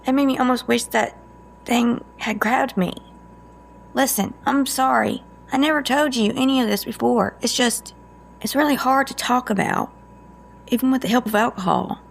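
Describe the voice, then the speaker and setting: A woman playing a guarded, sarcastic female agent with a creaky voice and a folksy, teasing manner in an audio drama. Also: a little high, moderate pace, slight background noise. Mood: pained, confiding.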